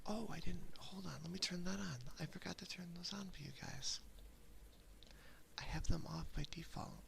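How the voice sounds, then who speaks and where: A woman streamer speaking in soft, intimate whispered ASMR, chatting casually and dotingly to her viewers close into a binaural microphone. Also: lowish voice, normal speed, noisy background. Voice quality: breathy whisper with heavy vocal fry. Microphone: close binaural ear microphone.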